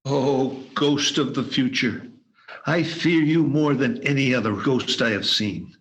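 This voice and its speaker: A man's voice: mid-range voice, a little slow, fairly bright tone, lightly acted, rough recording. A man playing a fearful, hesitant old miser in an amateur radio play recorded over a video call. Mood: anxious. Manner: hesitant, pleading. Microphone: home video call mic.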